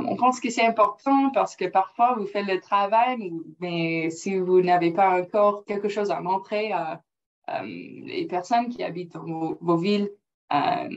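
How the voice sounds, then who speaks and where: A woman whose first language is English presenting slides in French, careful and halting with non-native phrasing, over a computer mic on a video call. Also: on the low side, fairly even intonation, vocal fry. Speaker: project officer presenting. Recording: dull lo-fi call audio.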